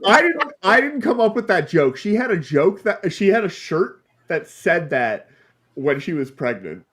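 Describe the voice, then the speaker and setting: Casting: man, lively pitch, casual explainer, faint noise behind. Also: measured pace. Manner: casual, explains science with slang. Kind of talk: casual chat.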